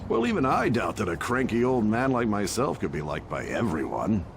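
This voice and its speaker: Casting: elderly game mentor, low creaky voice, highly varied intonation, courteous and placating. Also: male voice, very animated, normal speed. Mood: placating, mild. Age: older. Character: genial, self-deprecating old male master painter. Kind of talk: dramatic English video game dub acting. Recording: music behind the voice.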